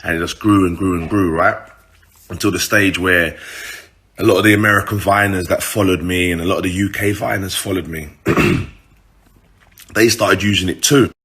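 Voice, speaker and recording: A man's voice: low-pitched, normal speed, fairly bright tone. A man with a British urban accent telling a story casually to his followers, informal, slangy and sweary.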